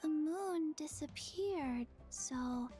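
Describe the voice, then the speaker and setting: Very slow little zombie girl. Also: high voice, faint music behind, full character voice. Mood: halting, deadpan. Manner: halting, flat, childlike delivery. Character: small, slow, deadpan zombie girl child.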